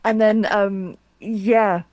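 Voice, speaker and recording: A woman's voice: mid-range voice, steady tone, extremely slow, a little mellow, no room sound. A woman interviewer chatting casually and enthusiastically, with effusive reactions. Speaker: interviewer and publisher.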